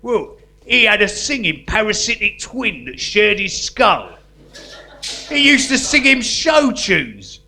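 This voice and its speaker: Casting: male actor as cheeky jailbird artist, high-pitched with a gravelly edge, earnest about nonsense, live stage comedy. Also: sing-song, slow pace, sharp tone, full character voice. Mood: matter-of-fact. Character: guerrilla artist convict, cheeky and pretentious. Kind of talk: live comedy sketch acting.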